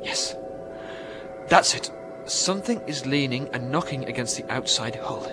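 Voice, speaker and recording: An actor playing a lonely, stranded astronaut fraying on a moon base in a radio drama, emphatic and agitated. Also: male voice, medium pitch, animated, unhurried, loud music behind the voice, close to an everyday voice. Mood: muttering, uneasy. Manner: emphatic, self-addressing, agitated.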